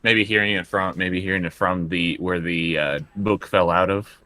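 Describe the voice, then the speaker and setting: A man playing a tabletop roleplaying game, improvising his character's actions in halting, casual talk. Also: sits low, steady tone, sharp tone, no room sound.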